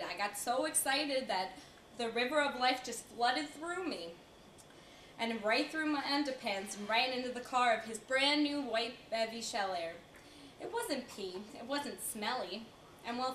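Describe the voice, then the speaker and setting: A woman's voice: on the high side, a bit bright, close to an everyday voice. A young woman on stage playing a reluctant, embarrassed old American lady who reminisces in a flustered, confiding way. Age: young adult. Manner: flustered, confiding, dramatic stops and starts. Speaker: student stage performer. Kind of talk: theatrical stage monologue. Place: indoor college performance before audience. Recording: echoey room, distant mic, faint hiss.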